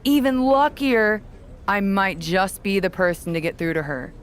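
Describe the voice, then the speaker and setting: An actress plays a cool, condescending woman wrestler in a video game story. Her medium-pitched voice is measured and calculating, with a haughty edge. There is faint ambience behind her. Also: expressive, slight background noise, close to an everyday voice. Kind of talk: scripted video game cutscene dialogue.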